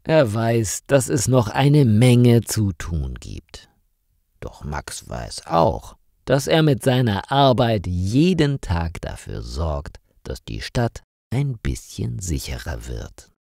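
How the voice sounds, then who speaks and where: A man tells a story at a deliberately slow, clear pace for learners, his low voice mellow and warm. His pitch rises and falls expressively for drama, and the recording is dry and close with no room sound. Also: sing-song, dry recording. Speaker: narrator of a German learning channel.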